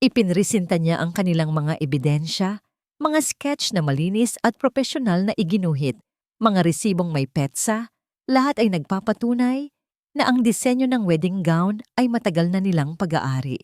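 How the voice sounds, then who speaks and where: A woman's voice: on the low side, animated, moderate pace, a little mellow, no room sound, clean studio sound. A woman reading a scripted first-person story in a dramatic, solemn manner. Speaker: narrator.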